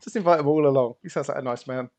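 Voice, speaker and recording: A man's voice: mid-range voice, racing, darkish tone. A man co-hosting a tabletop game stream, chatting casually, laughing often and thanking guests as he signs off. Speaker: co-host and player. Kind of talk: casual end-of-stream chat.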